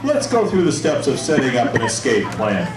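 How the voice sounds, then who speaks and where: A man's voice: medium pitch, huge pitch swings, moderate pace. A man playing a friendly firefighter who teaches children fire safety in an encouraging, instructive way in a scripted show. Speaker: firefighter presenter. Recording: echoey hall, distant mic, noisy audience.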